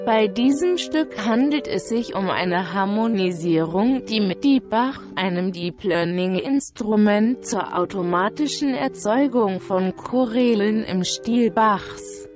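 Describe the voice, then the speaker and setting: A woman's voice: mid-range voice, lively intonation, slow pace, hardly any pauses. A woman reading a formal, scripted explanatory announcement. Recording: music behind the voice. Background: music.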